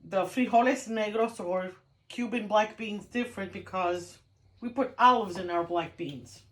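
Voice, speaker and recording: A woman's voice: mid-range voice, measured pace, fairly bright tone, low-quality recording. A woman chatting casually as she demonstrates a home cooking recipe, laughing now and then. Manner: chatty, laughs while explaining. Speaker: home cook.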